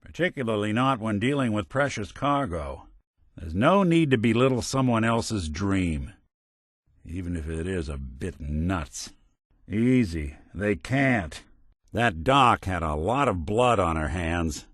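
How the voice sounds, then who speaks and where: An actor playing a world-weary, wry but kindhearted android detective in a video game, with hardboiled old-fashioned slang. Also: male voice, lowish voice, sing-song, a little slow, no room sound, studio quality. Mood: reassuring, then stern.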